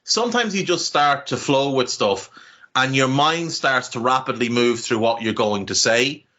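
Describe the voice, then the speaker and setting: An animated man chatting casually on a podcast, cursing freely and leaping up in pitch as he goes. His voice is bright and a little strained. It comes from a home setup and sounds slightly dull. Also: moderate pace. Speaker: podcast co-host.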